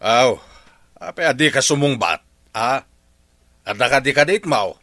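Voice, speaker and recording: A man's voice: medium pitch, expressive, a little slow, bright, forceful tone, no echo, clean studio sound. An actor playing a stern, questioning middle-aged father with an Ilocano accent in a radio drama. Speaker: father character.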